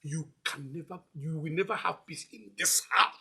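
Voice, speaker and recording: An actor playing an irate, bullying older father-in-law with a Nigerian accent and a raspy voice, scolding in repetitive outbursts. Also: fairly high pitch, moderate pace, bright, forceful tone, heavily acted.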